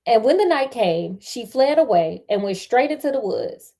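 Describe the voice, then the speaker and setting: A woman's voice: on the high side, very animated, moderate pace, warm tone. An American woman reading a fairy tale aloud, breaking off into sassy, chatty, colloquial joking commentary. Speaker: storyteller and host.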